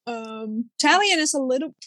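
A woman's voice: sits high, animated, moderate pace, fairly bright tone, no room sound. Young woman, casual chat, hesitant, lots of ums, dry close sound. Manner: searching for words, hesitant with many fillers.

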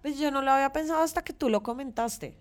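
A woman's voice: high voice, quick pace. A woman with a Colombian accent co-hosting a casual, informal podcast chat, often hesitating and repeating words as she thinks. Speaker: podcast co-host. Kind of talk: casual chat about pop culture.

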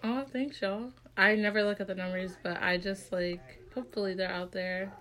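A woman's voice: medium pitch, fairly even intonation, normal speed, fairly bright tone. Chatty, congested young woman. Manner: chatty, lots of "like", laughs often. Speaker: podcast co-host. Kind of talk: casual chat.

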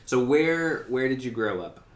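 A man hosting a podcast, asking interview questions in a halting way and often restarting them. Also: medium pitch, expressive. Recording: echoey room.

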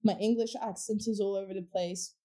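Mellow, reflective woman from Portugal. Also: mid-range voice, fairly even intonation, fairly quick. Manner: reflective, with frequent restarts and fillers. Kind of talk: casual conversation.

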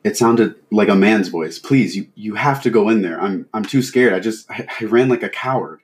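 A man's voice: low voice, fairly even intonation, normal speed, distant mic, natural voice. A man voicing a terrified, desperate father in an audio drama, stammering and pleading. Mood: frightened, ashamed. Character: terrified, desperate father begging police for help.

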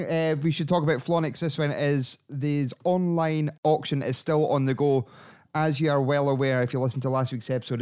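A man hosting a podcast in a casual, joking way. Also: mid-range voice. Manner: chatty, jokey, reads sponsor plugs. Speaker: podcast host.

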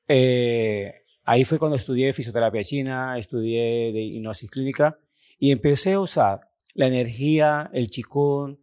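A man's voice: medium pitch, darkish tone. Earnest Cuban man telling his story. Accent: Cuban Spanish. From Cuba. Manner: earnest, slow and emphatic, with sudden quick runs. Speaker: podcast guest, personal development coach. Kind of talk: storytelling interview answers.

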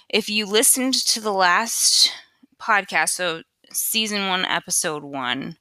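A woman's voice: medium pitch, sharp tone, no room sound. A young woman hosting her own podcast, chatting casually and rambling with lots of fillers, recorded at home on a USB headset mic. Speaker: podcast host. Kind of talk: casual solo podcast chat. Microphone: USB computer headset. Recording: dry close headset mic.

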